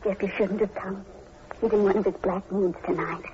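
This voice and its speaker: A woman's voice: mid-range voice, steady tone, moderate pace, natural voice. An actress playing an anxious, pleading young farm woman in a 1940s radio drama. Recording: muffled, faintly noisy 1940s radio recording.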